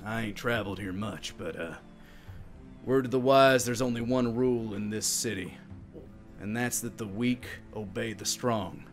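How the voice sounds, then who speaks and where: Male player as a laid-back wandering adventurer, low and folksy, dispensing plain advice in a tabletop game. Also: moderate pace, faint music behind, natural voice. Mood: gruff farewell.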